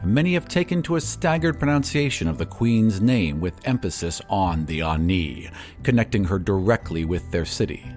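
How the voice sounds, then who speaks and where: A man's voice: deep voice, expressive, moderate pace, a little mellow, faint music behind. A man narrating a tabletop fantasy game as its game master.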